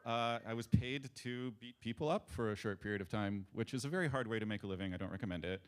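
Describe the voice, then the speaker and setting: A man's voice: fairly low pitch, fast, clear, resonant tone. A man from France co-chairing a conference, speaking playfully to a live audience. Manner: animated, laughing, playful banter. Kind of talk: casual talk to a live audience. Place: conference room on stage.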